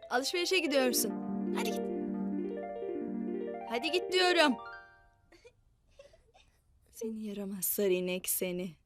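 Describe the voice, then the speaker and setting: A woman telling a story to young children in a playful, expressive, repetitive way. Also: on the high side, music in the background. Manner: slow, repetitive, expressive delivery with animal mooing.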